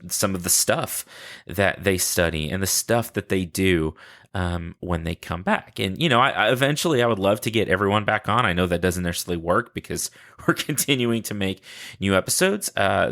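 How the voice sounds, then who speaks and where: Chatty, animated low-voiced man hosting. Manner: chatty, rambling, self-deprecating asides. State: sleepy. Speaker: podcast host. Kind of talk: casual unscripted solo podcast talk.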